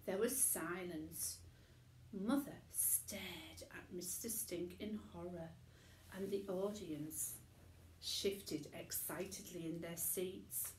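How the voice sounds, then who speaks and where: Woman doing bedtime story narration, really unhurried, bit of a roomy echo. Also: lowish voice, steady tone, a little hiss or hum. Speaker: storyteller reading a children's book aloud. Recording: echoey room.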